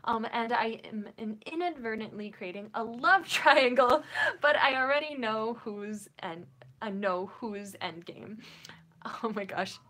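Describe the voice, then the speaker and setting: A woman's voice: a little high, expressive, a little slow, bright, forceful tone. A young woman with a Minnesotan accent, hosting a casual writing livestream and chatting with her viewers in a bubbly, giggly, rambling way. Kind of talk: casual chat reading viewer comments.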